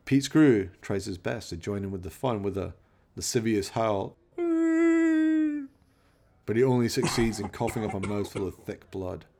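A man's voice: on the low side, moderate pace, pauses often, mellow. A man narrating a radio play as dramatic scripted storytelling.

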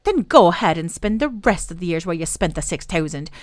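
A woman voicing a shrewd, steady old Irish woman in a storybook reading. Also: lowish voice, moderate pace, dry recording, clean studio sound. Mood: fierce.

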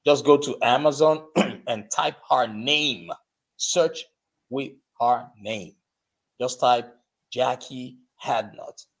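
A man hosting a live podcast interview, urging his listeners in an emphatic, exhorting way and spelling out names slowly. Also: medium pitch. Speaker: podcast host. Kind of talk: motivational interview show.

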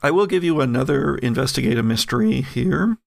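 Gravelly guy gm'ing a tabletop game, chill and chatty, dry sound. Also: medium pitch, brisk, no room sound. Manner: relaxed, chatty descriptions with fillers. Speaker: game master.

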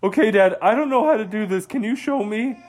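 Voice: medium pitch, fairly quick, voice pushed up, lightly acted. A storyteller voicing a lazy, sleepy young male otter in an improvised bedtime story, with a dopey, whiny drawl.

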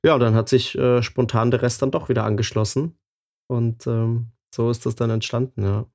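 A man with a lingering cold, telling a travel story in a relaxed podcast chat, full of fillers and crude jokes. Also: medium pitch, moderate pace, creaky. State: has a cold, slightly stuffy. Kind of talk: casual chat.

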